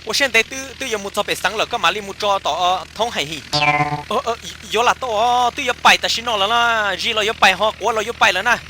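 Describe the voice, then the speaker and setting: A man's voice: sits high, fast talker, pushed and bright, a little hiss or hum. A man performing casual, chatty comic dialogue for a cartoon.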